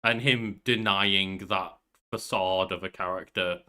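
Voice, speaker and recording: A man's voice: deep voice, steady tone, unhurried, pushed and bright, clear, resonant tone. A young man co-hosting a podcast in casual chat, with banter and some swearing. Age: young adult. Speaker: podcast co-host. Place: small bedroom at home.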